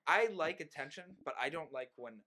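A man's voice: on the high side, expressive, moderate pace, bright, forceful tone. A young man hosting a podcast in casual chat, rambling and joking, often breaking off mid-sentence. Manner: rambling, self-interrupting, joking.

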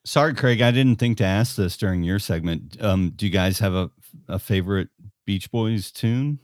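A man's voice: fairly low pitch, normal speed, no room sound, studio quality. A man in his late fifties co-hosting a podcast in casual, laid-back chat, explaining things as he goes. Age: late 50s. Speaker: podcast co-host.

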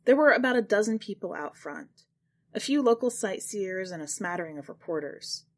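A woman reads literary fiction as a narrator. Her voice sits at a medium pitch but swings widely, giving the scripted prose expressive, dramatic phrasing. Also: moderate pace. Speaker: podcast host and fiction narrator.

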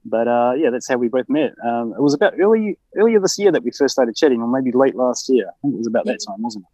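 A man's voice: mid-range voice, lively intonation, quick pace, dark tone, dry recording, muffled recording. A man with a New Zealand accent, an app developer joining remotely, polite and a little hesitant with fillers. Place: Japan, remote call.

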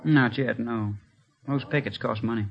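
A man's voice: mid-range voice, moderate pace, gravelly, natural voice. An actor playing a plain-spoken, grumbling policeman in a 1950s radio drama, dry and clipped. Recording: dull, hissy old radio recording.

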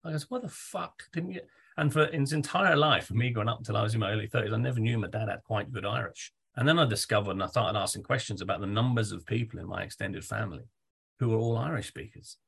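A man's voice: on the low side, quick pace, creaky, a bit bright, breathy edge. A man interviewing a guest in a loose, chatty way, swearing freely. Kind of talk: casual conversational interview.

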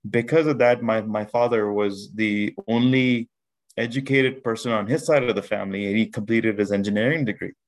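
A man from Pakistan in his thirties, a podcast guest telling his life story in a measured way with many restarts. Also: fairly low pitch, fairly even intonation. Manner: measured, with frequent restarts and fillers. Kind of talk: reflective storytelling interview.

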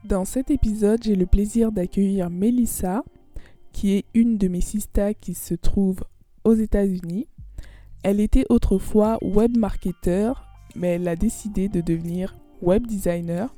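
A woman's voice: mid-range voice, fairly even intonation, measured pace, mellow. A young woman hosts a podcast interview. She asks her questions and answers her guest with friendly, encouraging reactions. Faint music plays underneath her voice. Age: young adult, student. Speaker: podcast host.

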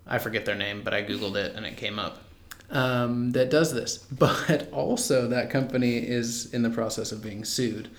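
A man's voice: mid-range voice, fairly even intonation, normal speed, hardly any pauses. A young man co-hosting a podcast in casual chat, laid-back and matter-of-fact with dry quips. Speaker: podcast co-host. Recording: roomy echo.

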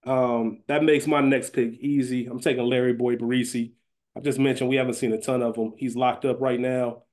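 A man's voice: medium pitch, little pitch movement, moderate pace, darkish tone. Informal male podcast co-host, slangy. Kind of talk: casual chat, fantasy draft banter.

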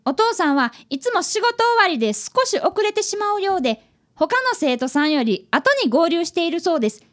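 Eager, high-pitched schoolboy detective. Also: female voice, expressive, a little fast, a bit bright. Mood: earnest, gentle. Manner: eager, polite. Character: earnest, polite fifth-grade schoolboy apprentice detective. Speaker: child apprentice detective in a radio drama. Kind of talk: radio drama acting.

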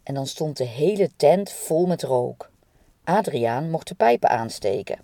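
A woman's voice: sits low, lively intonation, measured pace, dark tone. A woman presenting and interviewing, narrating in a plain conversational way.

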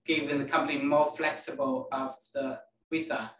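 A man from China, a native Chinese speaker, answering interview questions in halting English that repeats words. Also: mid-range voice, level tone. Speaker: guest, telecom network planner. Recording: roomy, distant mic.

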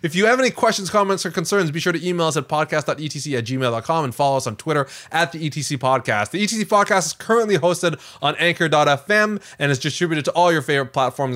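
A man co-hosting a podcast, chatting casually in an emphatic, animated way. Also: a little high. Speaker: podcast co-host.

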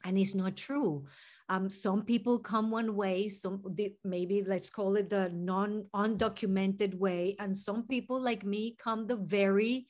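A woman's voice: medium pitch, fairly even intonation, measured pace, a little mellow. A woman in her forties from Venezuela with a Venezuelan Spanish accent, a therapist speaking earnestly and reflectively as a podcast guest. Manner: earnest, reflective, speaks in long unfolding sentences. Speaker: guest, licensed mental health therapist. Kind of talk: podcast interview.

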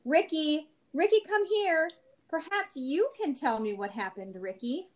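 A woman's voice: high-pitched, expressive, unhurried. A woman playing a suspicious, firm mother in an amateur audio play. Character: suspicious, firm mother questioning her children.

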